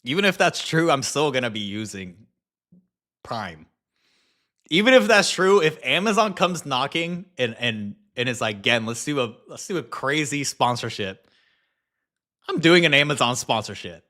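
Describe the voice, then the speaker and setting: A man's voice: mid-range voice, moderate pace. A young man hosting a video, talking casually with swearing, then narrating a documentary intro. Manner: animated, laughs often, swears casually. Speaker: YouTube host.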